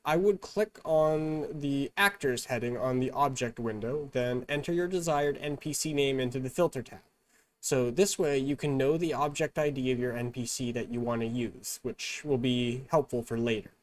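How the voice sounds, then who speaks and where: A man presenting a step-by-step software tutorial in an instructional, measured manner. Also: mid-range voice.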